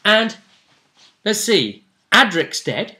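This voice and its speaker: A man's voice: sits high, sing-song, slow, sharp tone. A man acting as game master, casually recapping the story of a tabletop role-playing game.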